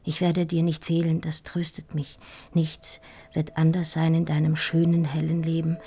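An actress playing a heartbroken, dying woman in an intimate radio drama monologue, speaking in halting, feverish, anguished whispers with repeated words. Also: low-pitched, completely flat delivery, mellow, husky. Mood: weak, tender farewell. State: feverish, weak, grieving. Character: heartbroken dying woman writing a farewell love letter.

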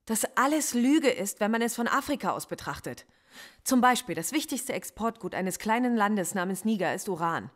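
An actress playing a defiant philosophy professor under police interrogation in a radio drama, impassioned and lecturing. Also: female voice, medium pitch, lightly acted. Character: female philosophy professor suspect, defiant, intense.

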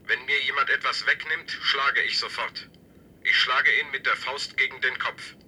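An actor playing a possessive, menacing camp inmate in a radio drama, reciting short simple sentences in a rigid, repetitive way. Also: male voice, mid-range voice, fairly even intonation, normal speed, pushed and bright, slight background noise.

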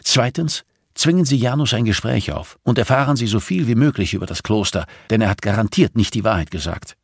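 A man's voice: on the low side, lively intonation, fairly quick, vocal fry, husky, voice pushed up, heavily acted. A man voicing an arrogant, irritable, sardonic investigator with a clipped, condescending manner in an audiobook. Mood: commanding.

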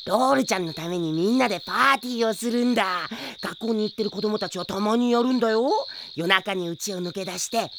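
A man's voice: high voice, fairly quick, few pauses, background noise. An actor playing a sly middle-aged man, wheedling and falsely friendly, in an audio drama. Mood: hushed, secretive. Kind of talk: Japanese audio drama acting.

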